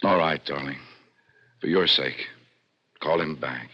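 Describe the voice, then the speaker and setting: A man's voice: a bass voice, measured pace, breathy edge, soft background music, heavily acted. A man playing a reluctant young scientist with an American accent in a 1960s radio drama, plainspoken and a little resentful. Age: young adult. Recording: dull old radio broadcast, faint noise.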